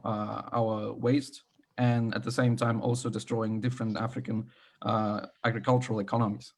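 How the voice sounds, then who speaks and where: A man opening an online panel discussion while sick, his voice husky and frayed. He talks with many fillers and restarts, and makes dry, self-deprecating remarks about his fading voice. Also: on the low side. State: sick, losing his voice, coughing. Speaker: event co-host. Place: video call.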